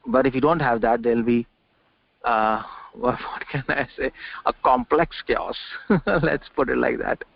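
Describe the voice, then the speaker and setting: A man's voice: medium pitch, measured pace, creaky, breathy edge, no echo. A man giving an informal scripture lecture over a conference call, repeating words and asking rhetorical questions. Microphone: conference call line. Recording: dull dry conference call audio.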